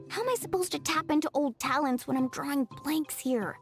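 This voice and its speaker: A woman voicing a confident, lively young woman in an anime-style game. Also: high voice, moderate pace, music in the background. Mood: flustered.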